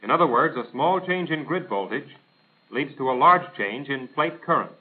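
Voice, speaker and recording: Thin tinny vintage film soundtrack, American man, lively intonation, formal instructional narrator, precise, didactic. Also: mid-range voice, normal speed. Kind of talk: scripted instructional narration, 1940s military film. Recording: tinny band-limited 1940s film soundtrack.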